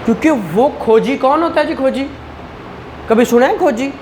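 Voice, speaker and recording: A Pakistani-accented woman teaches in a lecture style with a fairly high voice. She explains conversationally, putting questions to her listeners and slipping into English terms. There is light noise behind her. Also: moderate pace. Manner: conversational, frequent rhetorical questions and acted-out dialogue; code-switches into English terms. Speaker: law lecturer. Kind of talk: classroom lecture with questions to students.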